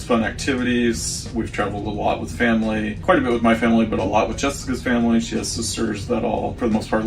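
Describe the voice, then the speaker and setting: Low-voiced travel advisor from Oklahoma, gravelly and easygoing, a bit shy on camera; mic sits back, music underneath. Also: male voice. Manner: easygoing, rambling, self-described shy on camera. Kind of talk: casual chat. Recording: distant mic with music behind.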